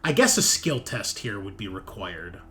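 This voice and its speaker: A man's voice: on the low side, very animated, moderate pace, fairly bright tone, faint music behind. A man running a tabletop roleplaying game as game master, improvising narration in a casual, joking way.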